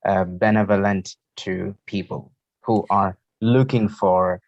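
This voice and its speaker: A man's voice: lowish voice, fairly even intonation, slow pace, vocal fry, darkish tone, no room sound. A man with an Indian accent hosting a talk show over an online call, asking questions in polite, formal wording. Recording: muffled, lo-fi internet call.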